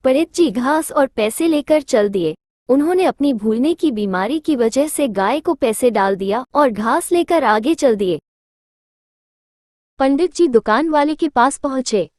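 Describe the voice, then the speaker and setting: A woman's voice: high voice, lively intonation, a little slow, a little mellow, no echo, studio quality. A woman narrating a scripted children's moral story.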